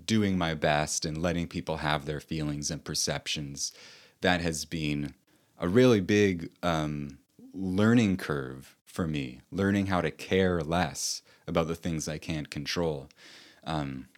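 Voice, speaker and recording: Slow, deep-voiced reflective man. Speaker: author and host. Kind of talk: reflective personal talk.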